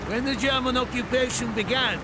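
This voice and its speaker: High-pitched, fervent Russian army captain. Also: male voice, a little fast, heavy background noise. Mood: bitter. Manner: forceful, grandiose. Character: fervent, hardened Soviet army captain in wartime. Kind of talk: dramatic war game acting. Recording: loud music and gunfire behind.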